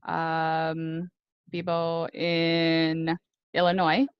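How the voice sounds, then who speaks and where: A woman in her thirties from the United States, a Spanish student, introducing herself hesitantly with many pauses on a video call. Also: low-pitched, monotone, clean tone, no echo, muffled recording. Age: 30s. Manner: hesitant, many ums while trying out Spanish. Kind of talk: casual video call chat.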